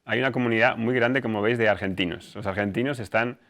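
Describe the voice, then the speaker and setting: A man hosting and introducing a student discussion, explaining things informally and somewhat haltingly. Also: fairly low pitch, a bit bright. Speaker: host, teacher.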